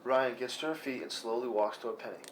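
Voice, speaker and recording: A man's voice: mid-range voice, quick pace. Man reading stage directions in noisy room. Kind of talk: scripted narration at a table read.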